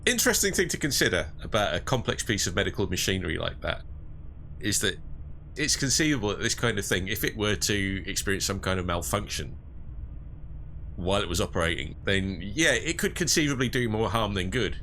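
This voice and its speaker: A game master guiding his players through a sci-fi adventure, speaking in a measured, explanatory way. His voice is fairly low but bright and forceful, and he lays out options with care. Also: animated, a little hiss or hum. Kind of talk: running a sci-fi tabletop game.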